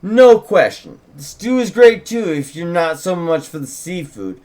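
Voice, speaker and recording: Man putting on an eager, high-pitched folksy young deputy. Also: big pitch swings, measured pace, darkish tone. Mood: friendly, chatty. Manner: folksy, deferential, talkative. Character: eager, courteous young small-town deputy, folksy and chatty. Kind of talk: script table read, in character.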